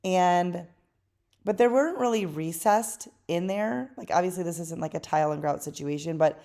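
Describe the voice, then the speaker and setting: A woman talks through a craft tutorial in a tired, hoarse voice that sits a little low. Her narration is chatty and relaxed, with easy fillers as she goes. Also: moderate pace. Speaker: craft channel host.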